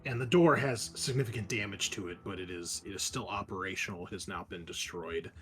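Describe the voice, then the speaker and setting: A man improvising descriptive, halting narration as the game master of a tabletop roleplaying session. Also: lowish voice, a bit bright.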